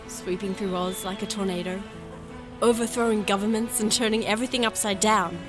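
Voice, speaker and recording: A woman playing a gentle, earnest young fairytale princess in an audio drama, sincere and measured. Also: mid-range voice, steady tone, over music, natural voice. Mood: sincere, soft. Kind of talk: audio drama acting and narration.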